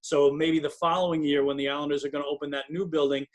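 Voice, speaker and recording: A man's voice: a little high, flat delivery, fast. A man working as a hockey broadcaster, giving emphatic, detailed and fluent sports analysis as an interview guest.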